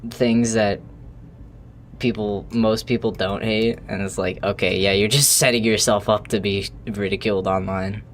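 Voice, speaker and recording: A man's voice: fairly low pitch, steady tone, normal speed, slight background noise. Rambling, joking young man streaming. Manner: rambling, joking, swears freely. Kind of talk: casual live-stream chatter while gaming.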